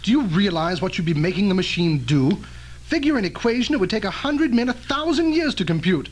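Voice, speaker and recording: Man playing cautious older scientist, high animated voice. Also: slight background noise. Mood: grave, warning. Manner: measured, admonishing, formal. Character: earnest, cautious older male scientist, protective mentor. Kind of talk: old-fashioned radio drama acting.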